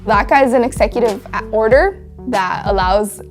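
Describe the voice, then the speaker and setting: A young woman from Mexico explaining calmly in a documentary interview. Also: on the high side, moderate pace, faint music behind. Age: young adult. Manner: calm, explanatory.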